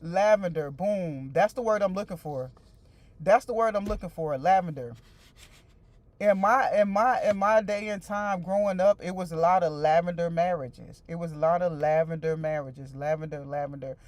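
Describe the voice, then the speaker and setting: A woman's voice: lowish voice, normal speed, darkish tone, dry recording. A woman in her forties hosting a casual stream, talking loosely and repeating herself as she chats straight to her viewers and answers their comments. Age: 40s. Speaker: livestream host.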